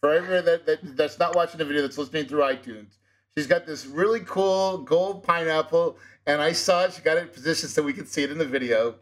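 A man with a fairly high voice hosts a casual podcast interview as a real estate coach. He talks energetically and persuasively, tossing quick confirmations at his guest. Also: low-quality recording. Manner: energetic and persuasive, tags with "right?".

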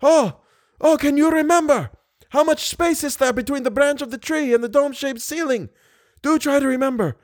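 A terrified drowning man, as a male reader puts him on, cries out in a strained voice pushed far above his normal register. The shouts are loud and frantic, and the dry, close sound keeps every cry right up front. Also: moderate pace, no room sound. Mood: panicked. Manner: desperate high-pitched shouting.

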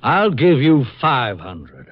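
A man's voice: mid-range voice, sing-song, dry recording. An actor playing a desperate, protective car dealer and father, tense and indignant, in 1950s radio drama style. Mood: indignant, cornered. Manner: tense, indignant, halting when evasive. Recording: dull vintage radio recording.

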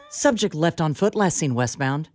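Lively American woman bantering on radio. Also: low-pitched, takes it slowly. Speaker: radio show co-host. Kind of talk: casual comic radio banter.

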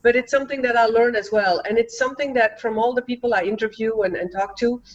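A woman's voice: a little high, fast, muffled recording. A woman in her forties with a Dutch accent, an interview guest talking in an earnest, encouraging way. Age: 40s. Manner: earnest, encouraging, many restarts. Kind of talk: casual interview talk.

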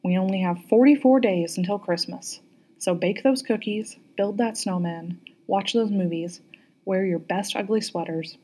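Low, husky, mellow-voiced woman. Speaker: guest reader, podcast listener. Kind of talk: scripted holiday sign-off.

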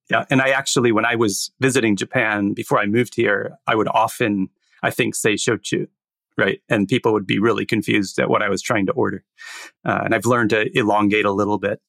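A man's voice: on the low side, fairly even intonation, normal speed, creaky, fairly bright tone, no echo. A man co-hosting a podcast, from the United States, talking in an easygoing way and laughing often. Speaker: podcast co-host. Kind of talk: casual podcast chat.

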